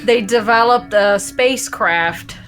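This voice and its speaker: A woman's voice: fairly high pitch, slow, fairly bright tone. A woman co-hosting a comedy livestream, chatting casually in short, teasing, dry quips. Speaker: co-host of a comedy improv livestream. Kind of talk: casual comic banter. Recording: music behind the voice. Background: music.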